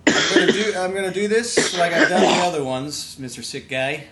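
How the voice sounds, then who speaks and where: A man conducting a casual interview, talking in a rambling, halting way. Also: high-pitched, animated, a crowd in the background. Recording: roomy echo, background noise.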